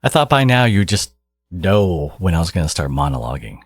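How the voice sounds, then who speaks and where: Man acting a very deep, gravelly supervillain. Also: big pitch swings, moderate pace, dry recording, exaggerated voice. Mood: stern, irritated. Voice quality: gravelly, husky, low. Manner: condescending, menacing, theatrical, slipping into whispers. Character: menacing, obsessive genius supervillain, exasperated yet caring archnemesis. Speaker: voice actor in roleplay audio. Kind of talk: dramatic second-person audio roleplay monologue.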